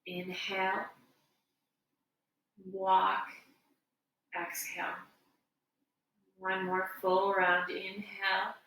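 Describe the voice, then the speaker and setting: Slow-speaking woman guiding meditation in echoey room. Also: medium pitch, monotone. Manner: gentle, unhurried, soothing instruction. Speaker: yoga and meditation instructor. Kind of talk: guided meditation instruction. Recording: echoey room, distant mic, faint noise.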